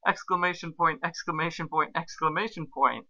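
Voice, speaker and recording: A man's voice: on the high side, a little fast, pushed and bright, lo-fi sound. A man hosting and narrating a comic amateur reading of fan fiction, in an amused, mock-serious way. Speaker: podcast host and narrator.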